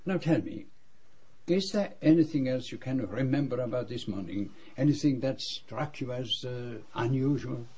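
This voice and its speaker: An actor playing a polite foreign detective who questions people formally and carefully in a radio drama. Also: male voice, mid-range voice, animated, dark tone, natural voice. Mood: probing, courteous. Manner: courteous, formal, measured questioning.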